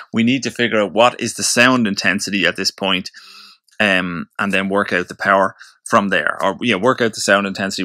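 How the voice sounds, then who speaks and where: A man with a fairly low voice and a bright, firm edge teaches informally. He works through things step by step, thinking aloud as he goes. The sound is fairly dry, with little room on it. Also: dry recording. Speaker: physics tutor. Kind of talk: informal explanatory lesson, working through a problem.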